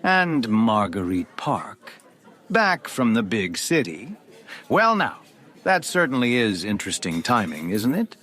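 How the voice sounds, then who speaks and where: A man's voice: mid-range voice, very animated, unhurried, a bit bright. A man playing a cold, menacing rich man in his forties in an audio drama, smug and controlled with veiled threats. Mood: sneering.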